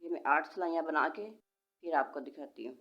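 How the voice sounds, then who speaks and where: A woman's voice: deep voice, normal speed, fairly bright tone. A woman, patiently giving step-by-step knitting instructions and counting stitches aloud. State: sore throat, voice slightly changed. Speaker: knitting tutorial host. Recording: dull lo-fi home recording.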